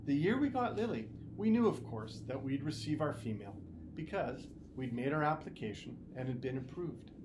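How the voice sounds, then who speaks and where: A man voicing a gentle, caring father who confides mild guilt in an expressive, halting storybook reading. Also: medium pitch, lightly acted. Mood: confiding. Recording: noticeable background noise.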